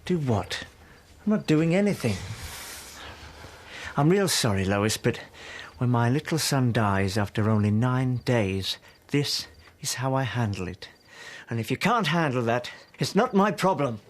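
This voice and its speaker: A man's voice: mid-range voice, very animated, measured pace, a little hiss or hum. An actor playing a cold young husband hiding his grief in a drama, curt and defensive. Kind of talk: naturalistic drama.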